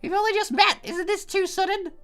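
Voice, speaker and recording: A woman's voice: very high-pitched, fairly even intonation, a little fast, a bit bright, faint music behind. A woman voicing an anxious, easily flustered young woman from an anime visual novel, exclaiming and stammering dramatically. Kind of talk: visual novel read aloud in character.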